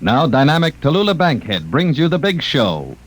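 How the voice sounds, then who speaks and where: A man's voice: fairly high pitch, sing-song, normal speed. A man announcing in the scripted style of 1950s network radio. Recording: very noisy old radio recording.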